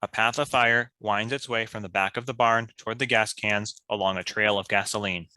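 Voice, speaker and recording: A man's voice: fairly low pitch, steady tone, moderate pace, pushed and bright, dry recording. A man reading stage directions as scripted narration for a screenplay.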